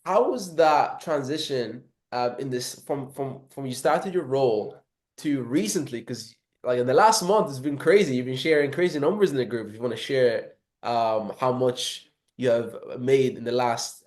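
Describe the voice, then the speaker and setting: A man's voice: mid-range voice, moderate pace, a little mellow. A man hosting an interview, asking encouraging questions and sprinkling in religious phrases. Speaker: interviewer, host. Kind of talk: casual interview.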